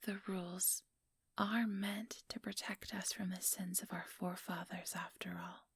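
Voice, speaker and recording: A woman playing an ambitious vampire elder in a whispered ASMR roleplay, breathy, deliberate and patronizing, with cool menace. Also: mid-range voice, steady tone, no echo. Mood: taunting, probing.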